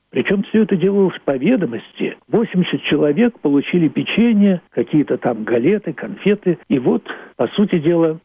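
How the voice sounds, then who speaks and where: Older male playwright, unscripted recollection, slightly raised pitch, husky edge, dry phone-quality audio. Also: no room sound. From Leningrad, Russia. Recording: muffled, phone-like narrowband audio.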